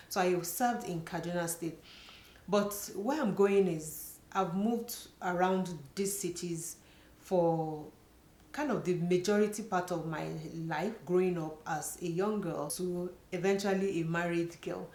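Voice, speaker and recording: A woman's voice: lowish voice, fairly even intonation, measured pace, a little mellow. Chatty Nigerian woman in an echoey room. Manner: earnest, chatty, frequent "you know" fillers. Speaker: vlogger. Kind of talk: personal storytelling to camera.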